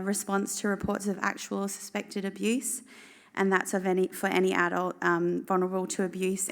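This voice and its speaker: Croaky-voiced woman presenting. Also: mid-range voice. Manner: steady, informative with frequent fillers. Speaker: government policy officer presenting. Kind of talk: conference presentation.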